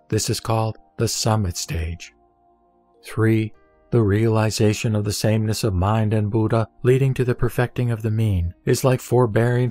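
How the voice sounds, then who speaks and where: A man reads Buddhist scripture as a scripted recitation, in measured, deliberate short phrases. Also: medium pitch, a little mellow, dry recording. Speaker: sutra reciter. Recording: music bed behind voice. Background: background music.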